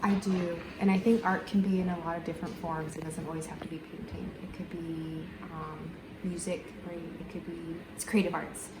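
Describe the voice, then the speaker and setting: A woman answers interview questions in a thoughtful way, pausing often with ums. Her voice sits fairly low. The recording sounds echoey, with steady noise behind her. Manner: thoughtful, many ums and pauses.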